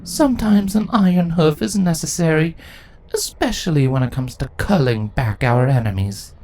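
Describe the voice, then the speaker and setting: A man voicing a ruthless, imperious female changeling insect queen in a story reading, haughty and deliberate in delivery. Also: on the high side, expressive, darkish tone, a little hiss or hum, close to an everyday voice. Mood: commanding. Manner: haughty, deliberate, grandly self-justifying.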